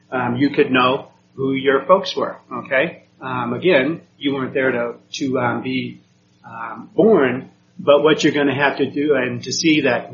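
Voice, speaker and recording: A man from Puerto Rico lecturing a class in a relaxed, halting way, stopping often with "um" and checking in with "okay?". His voice sits at a middle pitch. The recording is dull and lo-fi, with a faint room hum behind him. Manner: hesitant, many ums, checks with "okay?". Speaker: teacher.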